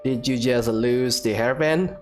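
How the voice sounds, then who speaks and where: Very deep-voiced woman, casual game commentary. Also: a little slow, faint music behind. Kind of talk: casual let's play commentary.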